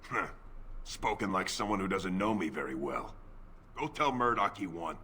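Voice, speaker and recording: An actor playing a grumpy, sarcastic dwarf trader in a fantasy game. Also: male voice, deep voice, expressive, normal speed, sharp tone, slight background noise. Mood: sardonic. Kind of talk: video game voice acting.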